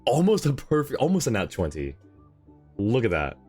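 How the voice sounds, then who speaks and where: A man's voice: mid-range voice, huge pitch swings, normal speed, a little mellow. A man doing casual, jokey live commentary as a game streamer, reacting aloud as he plays. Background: game music.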